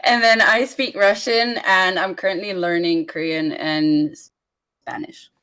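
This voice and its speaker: A young woman from Bulgaria with a Bulgarian accent, a player giving a relaxed post-game interview and laughing lightly between answers. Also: fairly low pitch, a little slow, clear, resonant tone. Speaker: esports player guest.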